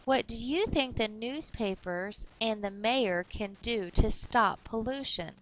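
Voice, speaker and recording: Female teacher, slightly high pitch, read-aloud storytelling for a young class, instructive delivery. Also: slow, rough recording.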